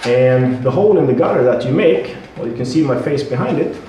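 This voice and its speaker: A man with a Swedish accent, a product representative, explaining and demonstrating his product with halting speech, fillers and repeated words. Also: medium pitch, expressive, warm tone, a little hiss or hum. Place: building site at a house. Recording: very echoey.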